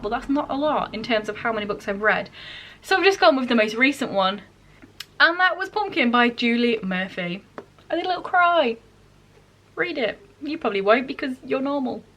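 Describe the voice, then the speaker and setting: A woman with a fairly high voice talks casually to the camera as a book vlogger. Her delivery is informal and self-deprecating, peppered with dry asides. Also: fairly bright tone. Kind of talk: casual chatty vlog to camera.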